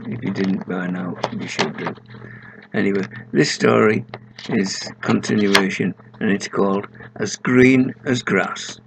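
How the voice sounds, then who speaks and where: Old guy in his 70s reading a story out loud, gravelly voice. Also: on the low side, slight background noise, poor audio. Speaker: author reading his own short stories aloud. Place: small room at home, indoors.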